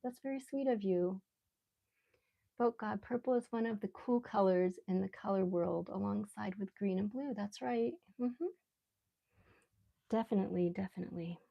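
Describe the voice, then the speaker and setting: A woman with a relaxed voice chats casually on a live stream as she draws, rambling unhurriedly and thinking aloud. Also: medium pitch, moderate pace, gravelly, muffled recording. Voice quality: relaxed, soft-edged voice. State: relaxed, mildly sedated after anesthetic. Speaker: live stream artist host. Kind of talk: casual live stream chat while drawing.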